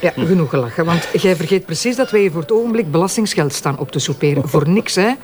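A man's voice: fairly high pitch, animated, fast, lightly acted. A man with a Flemish accent acting in a radio drama as a sceptical, scolding police superior, sarcastic and reproachful. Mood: exasperated, sarcastic.

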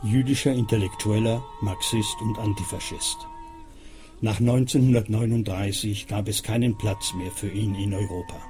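A man's voice: on the low side, fairly even intonation, normal speed, over music. A man reading scripted narration for a radio documentary. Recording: clear ambient noise behind.

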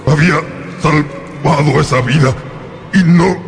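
A man's voice: a little high, soft background music. An actor playing an anguished, vengeful monster in a radio drama, brooding and volatile as he addresses his creator. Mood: wounded, bitter. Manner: slow, brooding, emotionally volatile delivery. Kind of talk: dramatic radio play acting.

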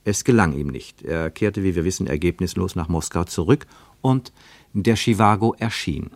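Wry radio-play narrator, lower register, measured and precise, very dry studio sound. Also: male voice, lively intonation, dry recording. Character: literary narrator of a Cold War journey, wry, measured. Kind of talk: scripted literary narration, German radio play.

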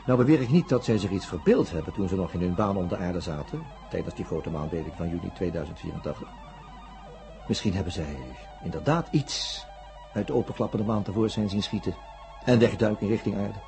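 Low-voiced, sceptical investigator. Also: male voice, creaky, warm tone. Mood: measured scepticism. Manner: deliberate, formal, pedantic. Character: sceptical, analytical male investigator recounting a strange case. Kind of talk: scripted radio drama narration. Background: music with plucked guitar.

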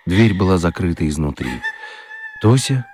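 A man narrating a children's radio play as an expressive storyteller. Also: sits low, moderate pace.

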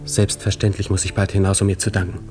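An actor playing a shy, courteous young army lieutenant in a period radio drama, formal and hesitant. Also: male voice, low-pitched, completely flat delivery, quick pace, over music, lightly acted. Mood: embarrassed, polite.

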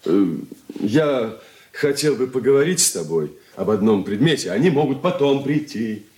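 An actor playing an eager young bridegroom in a radio drama, speaking in an ingratiating, tender, stage-trained manner. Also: male voice, mid-range voice, lively intonation, moderate pace, dark tone, close to an everyday voice. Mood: earnest. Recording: roomy echo, faint background noise.

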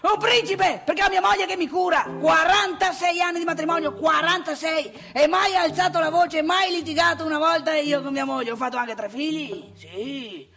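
Una donna che interpreta in un monologo teatrale comico un vecchio marito chiacchierone, vanitoso e brontolone, con del Nordest italiano e modi enfatici. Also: female voice, very high-pitched, moderate pace, vocal fry, few pauses, a bit bright, full character voice. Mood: boastful, grumbling. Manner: chatty, emphatic, repetitive, tag questions and exclamations. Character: garrulous old married man, nagging, boastful, grumbling father. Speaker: stage monologue performer. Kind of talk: comic theatre monologue addressed to the audience.

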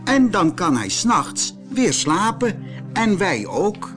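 A worried, urgent toy doll played by a male reader in a children's fairy tale. The voice is high and very animated. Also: takes it slowly, music in the background, full character voice. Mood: urgent, concerned.

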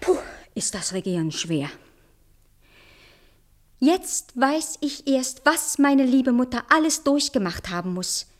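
A woman's voice: high voice, very animated, slow, pauses often, exaggerated voice. A woman playing a vain, bossy and dreamy young queen in a theatrical fairy-tale radio play. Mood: weary, sighing. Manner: haughty, imperious, dramatic.

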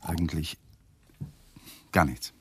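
An actor playing an evasive, weary young married lawyer, hesitant and guarded, in radio play dialogue. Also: male voice, extremely low pitch, steady tone, slow, fairly bright tone, slight background noise, heavily acted. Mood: guarded, subdued.

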